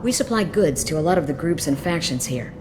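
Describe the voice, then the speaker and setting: A woman's voice: low voice, animated, a little fast, background noise. A woman voicing a jaded, hard-edged mercenary lieutenant in a video game, frank and businesslike with a bitter edge.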